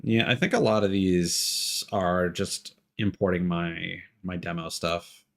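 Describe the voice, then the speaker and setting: Male game streamer, low register, loose rambling commentary with dry, sweary humor. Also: slow pace, creaky, a bit bright. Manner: rambling, sweary, dryly joking. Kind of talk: casual live gameplay commentary.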